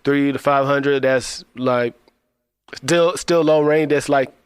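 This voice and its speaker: A man's voice: medium pitch, fairly even intonation, takes it slowly, clean tone, no room sound, clean studio sound. A man co-hosting a podcast, casually explaining things in short phrases and lists. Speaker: podcast co-host. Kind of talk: casual instructional talk.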